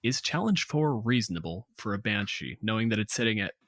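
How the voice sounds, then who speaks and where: A man's voice: on the low side, lively intonation, brisk, fairly bright tone, no echo, clean studio sound. A man co-hosting a tabletop gaming podcast, casually analytical, articulate, emphatic and opinionated. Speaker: podcast co-host. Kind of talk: casual analytical discussion of tabletop game monsters.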